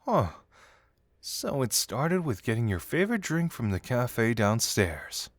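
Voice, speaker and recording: A man's voice: medium pitch, very animated, a little slow, no echo, studio quality. A man acting a playful, teasing young male detective in an audio roleplay, musing theatrically to the listener. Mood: teasing, intrigued. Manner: teasing, theatrical, musing hums and soft chuckles.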